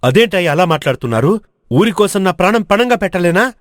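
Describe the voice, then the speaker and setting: A man voicing a brave, determined village hero in an animated moral story, speaking in an earnest, declamatory way. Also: sits high, fast, no room sound, clean studio sound. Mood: resolute.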